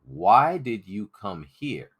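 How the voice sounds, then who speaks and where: A man voicing a stern, suspicious rebel leader with a deliberate, accusing manner, in a storybook reading. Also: on the low side, big pitch swings, a bit bright, husky, voice pushed up, full character voice.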